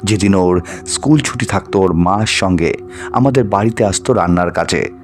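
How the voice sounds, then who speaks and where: A man narrating a scripted ghost story in an expressive, dramatized way. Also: low voice, moderate pace, soft background music. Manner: expressive, acts out the dialogue of characters.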